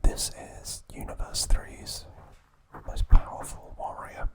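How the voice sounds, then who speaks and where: A man speaks entirely in a breathy, unvoiced whisper, drawing out each phrase slowly for an ASMR recap. He trips now and then over unusual names and quietly corrects himself before moving on. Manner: slow, unvoiced whisper with small slips and mispronunciations. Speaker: ASMR host.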